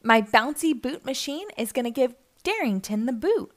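A woman's voice: sits high, sing-song, normal speed. A woman voicing a jealous, sneaky male monster truck villain who schemes and gloats in a children's storybook. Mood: scheming.

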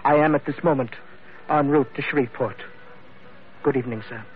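A man's voice: mid-range voice, brisk, close to an everyday voice. A man playing an aggrieved, pompous, wheedling merchant with flowery formal speech in a vintage radio drama. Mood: indignant, dignified. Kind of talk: 1940s radio drama acting. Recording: muffled vintage radio recording, faint music.

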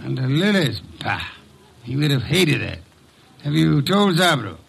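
A haughty, learned detective of old-time radio. His voice sits a little high for a man and has a rough, creaky grain. He speaks in curt, precise, pompous phrases laced with sardonic disdain. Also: very animated, a little slow, full character voice. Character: gruff, haughty, erudite male detective boss, orchid lover.

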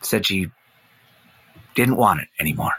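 An actor playing a narrator who chases an old music legend, telling it in measured, folksy scripted narration. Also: male voice, deep voice, lively intonation, a bit bright, husky, full character voice. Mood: wry, reflective.

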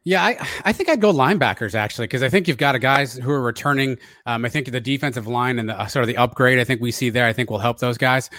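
An American man co-hosting a sports show talks at a quick clip in a raspy voice. His pitch sits in the middle, and the sound is dry, with little room to it. The delivery is casual analysis. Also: a bit bright, no room sound. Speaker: sports podcast co-host, analyst.

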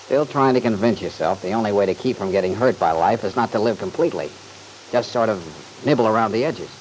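An actor playing an easygoing, thoughtful young man in an old-time radio soap opera, folksy, earnest and gently teasing. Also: fairly low pitch, fast, close to an everyday voice, rough recording. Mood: teasing, challenging. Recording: hissy vintage radio recording.

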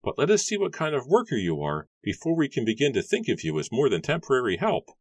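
Guy doing a grumpy big old farmer, squeezed way up high, curt and grudging. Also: wildly swooping pitch, quick pace, slightly rough, no room sound. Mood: commanding. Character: gruff heavyset older male farmer, brusque.

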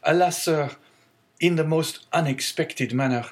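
A man's voice: on the high side, unhurried, voice pushed up, natural voice. A man voicing an earnest, resolute young sailor who speaks with sorrow, in an audiobook reading. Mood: melancholy.

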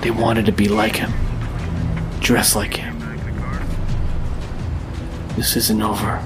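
A man playing a grim, controlled masked vigilante detective in an audio drama, terse and hushed. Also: fairly low pitch, slow pace. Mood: grave, brooding. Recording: loud music under the voice.

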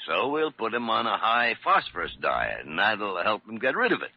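An actor playing an older senior doctor in an old-time radio drama, crusty, explanatory and insistent. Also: male voice, fairly low pitch, very animated, normal speed, gravelly, heavily acted. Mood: reassuring, explanatory. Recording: muffled, noisy vintage radio recording.

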